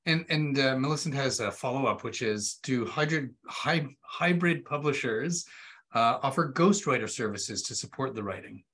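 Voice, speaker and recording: A man in his forties with a medium-pitched voice hosts an online webinar. He talks conversationally and haltingly, often doubling back on words, over a video call from an indoor room. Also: lively intonation, fairly bright tone, breathy edge. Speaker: webinar host. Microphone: computer video call.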